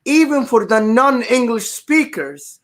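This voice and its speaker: High-voiced Arabic-native man, insistent. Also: animated. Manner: insistent, repeats short points, halting phrasing. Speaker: podcast guest. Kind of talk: casual sports chat.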